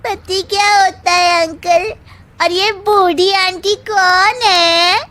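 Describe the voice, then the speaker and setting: A woman's voice: very high voice, steady tone, slow, sharp tone, heavily acted. A woman voicing a grown wife who acts like a whiny, demanding little girl in a cartoon story. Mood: confused, childlike. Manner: childish, whining, demanding. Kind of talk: Hindi cartoon story acting.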